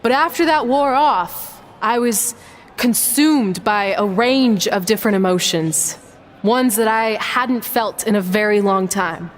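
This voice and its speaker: An actress playing a seasoned champion wrestler in a video game, speaking in a measured, sincere, wistful way. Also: female voice, medium pitch, slight background noise, close to an everyday voice. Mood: reflective, resolved. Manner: measured, reflective, deliberate. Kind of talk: video game cutscene voice acting.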